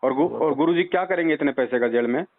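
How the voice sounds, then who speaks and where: A man's voice: on the high side, fast talker. Guy on a crackly narrow phone call, pushy religious advice, keeps asking leading questions. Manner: insistent, admonishing, leading with rhetorical questions. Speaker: spiritual advisor taking a caller's doubts. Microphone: phone line. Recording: tinny, band-limited phone call recording.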